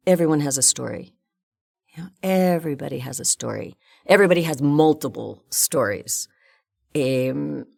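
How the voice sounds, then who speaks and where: A woman's voice: deep voice, gravelly, a little mellow. An older woman, a guest in an interview, talking frankly and thinking aloud with fillers. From United States. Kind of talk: casual interview chat.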